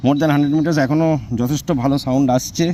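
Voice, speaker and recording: A man's voice: fairly high pitch, fairly even intonation, rapid-fire, warm tone, a little hiss or hum. A man with a Bengali Indian accent, a native Bengali speaker, giving an informal hands-on product demonstration as a vlogger. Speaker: vlogger presenting a product test.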